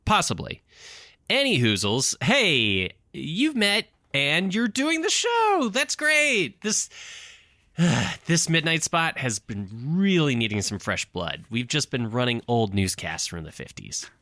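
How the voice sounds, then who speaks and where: Goofy boss, wildly swooping bright voice. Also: male voice, on the high side, huge pitch swings, slow pace, dry recording, clean studio sound, heavily acted. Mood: apologetic, cheery. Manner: babbling, apologetic, silly exclamations. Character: goofy, bumbling, timid male station boss. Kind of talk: audio comedy drama acting.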